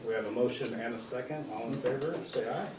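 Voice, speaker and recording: A man's voice: mid-range voice, fast talker. A man chairing a public board meeting in an easygoing way, with light jokes and lots of "uh". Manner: easygoing, jokes a little, says "uh" a lot. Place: meeting room. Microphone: distant room mic. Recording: echoey room from far off, noisy.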